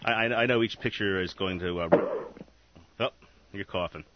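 A man's voice: low-pitched, moderate pace, lo-fi sound, very noisy. A man hosting a radio interview, rambling and stumbling over his words as he asks questions. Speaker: radio host.

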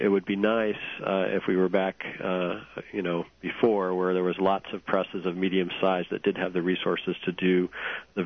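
A man with a cold and a hoarse voice, a guest author speaking hesitantly with many ums over a phone line. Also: sits low. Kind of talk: phone-in interview.

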